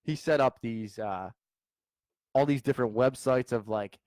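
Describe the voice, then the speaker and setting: Male podcast co-host, mid-pitch, animated casual storyteller, dry close mic. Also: unhurried, dry recording. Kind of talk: casual chat. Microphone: studio mic.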